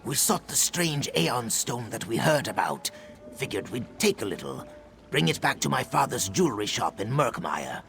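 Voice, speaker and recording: Man voicing an anxious lizard-folk fugitive, gravelly, animated. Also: mid-range voice, moderate pace, faint music behind. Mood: worried, pleading. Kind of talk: fantasy video game quest dialogue.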